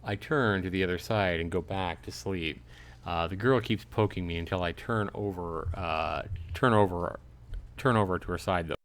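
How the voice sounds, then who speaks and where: A man's voice: low voice, moderate pace. A man streaming a visual novel, casually reading the text aloud and chatting jokily with his viewers. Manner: chatty, jokey, talks back to chat.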